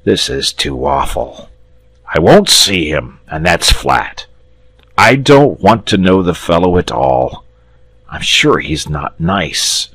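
A man reading a story, voicing a gentle, cowardly male dragon with a slow, polite drawl. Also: low voice, big pitch swings, no echo, full character voice. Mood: moaning.

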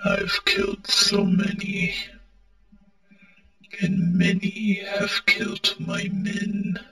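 A man playing a war-weary, mournful robot prince in a halting, melodramatic monologue. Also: sits high, monotone, vocal fry, bright, forceful tone, echoey room, soft background music, full character voice, poor audio. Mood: sorrowful, pained. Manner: halting, melodramatic, broken phrasing. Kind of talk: dramatic amateur character monologue.

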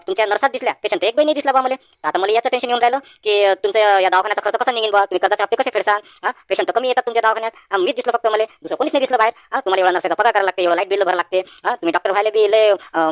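A woman's voice: mid-range voice, racing, few pauses, no echo, clean studio sound, natural voice. A woman voicing an anxious, naive village man in a comic cartoon, rambling with hesitant fillers in a rural Vidarbha Marathi accent. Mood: worried, rambling. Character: anxious rustic village man, naive worrier visiting a doctor.

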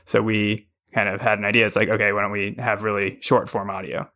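A man's voice: fairly low pitch, level tone, fairly quick, sharp tone. A man from Texas, a podcast guest, talking casually with wry, joking asides. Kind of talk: casual interview.

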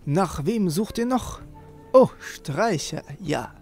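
A man with a creaky voice hosting a let's play, commenting on the game and reading quest text aloud. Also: high-pitched, lively intonation, slow pace, darkish tone. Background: game music.

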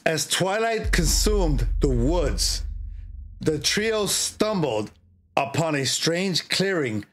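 A middle-aged man hosting a livestream, chatting casually and reading a horror story in a drawn-out, theatrical way with pauses. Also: on the high side, sing-song, fairly bright tone. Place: indoor streaming setup. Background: music.

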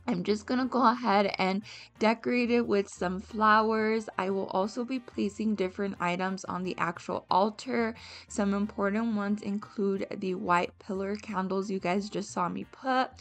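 A young woman narrating a home cooking and decorating video in a friendly, chatty voice-over, speaking directly to her viewers. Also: medium pitch. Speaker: home vlogger. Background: soft background music.

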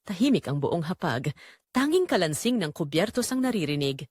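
A woman's voice: fairly low pitch, big pitch swings, normal speed, darkish tone, slightly rough, no echo, studio quality. A woman playing a guilt-ridden married mother confessing a secret affair, narrating in the first person in an emotive, confessional manner. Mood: guilty, troubled.

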